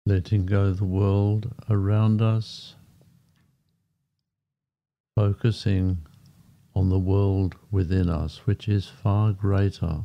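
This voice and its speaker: Slow, deep, very mellow male meditation guide. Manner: calm, unhurried, with short phrases and long pauses. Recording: muffled, dull lo-fi sound. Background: background music.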